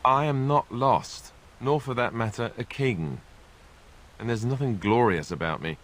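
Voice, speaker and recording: An actor playing a self-deprecating, reluctant young royal bastard in a video game, speaking in a wry, sarcastic manner. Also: male voice, mid-range voice, measured pace, fairly bright tone, close to an everyday voice.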